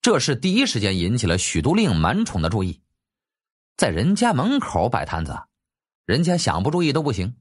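A man's voice: mid-range voice, wildly swooping pitch, fairly quick, breathy edge. A man narrating an audiobook in a dramatic, scripted storytelling style.

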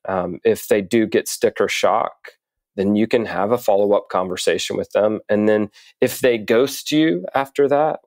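A man speaking as an interview guest, explaining in a measured, articulate way with deliberate pauses. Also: fairly low pitch, darkish tone, no echo. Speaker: podcast guest, writer and coach.

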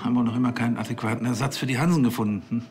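An actor playing a pompous, hesitant police chief in a TV crime comedy. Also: male voice, mid-range voice, fairly even intonation, rapid-fire, darkish tone, close to an everyday voice. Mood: condescending.

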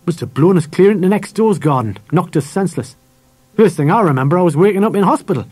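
Man acting a gruff, angry father, voice pushed high. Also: expressive, quick pace, a little mellow, dry recording. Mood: explaining. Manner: gruff, scolding, colloquial swearing. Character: angry, scarred working-class father, blustering and scornful.

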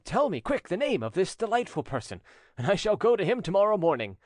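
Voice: medium pitch, big pitch swings, brisk, darkish tone, no room sound, studio quality, voice pushed up. A narrator voicing a proud, scheming male French notary who feigns innocence, in an audiobook story. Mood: feigned innocence.

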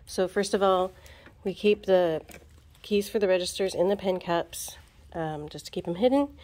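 A woman casually walking through book fair checkout steps at a school register, chatty, with ums and quick asides, recorded on a handheld phone. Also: on the low side, a little mellow. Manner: chatty, with frequent ums, asides and self-corrections. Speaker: book fair volunteer trainer. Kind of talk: casual how-to walkthrough. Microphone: phone camera held over the register.

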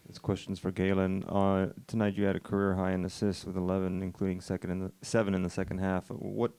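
Deep, monotone male reporter. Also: fast, clean tone. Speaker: sports reporter.

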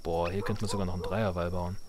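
Low-voiced man commentating a game. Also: flat delivery, fast, background noise. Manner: thinking aloud, colloquial. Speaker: let's player.

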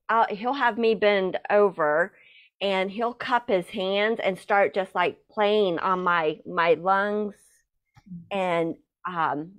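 Chatty rambling woman co-host. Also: fairly low pitch. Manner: rambling, full of fillers and laughter. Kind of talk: casual chat.

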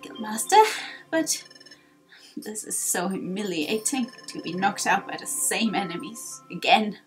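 A woman's voice: medium pitch, a little slow, soft background music, voice pushed down, lightly acted, low-quality recording. Woman doing a faint, proud bird creature. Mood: weak, humiliated. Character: humbled, proud male bird creature, injured second-in-command.

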